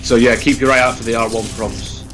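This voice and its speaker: A man's voice: medium pitch, flat delivery, a little fast, rough recording. A British man giving casual co-commentary on a video game. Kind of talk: casual gameplay commentary chat. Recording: loud game music behind.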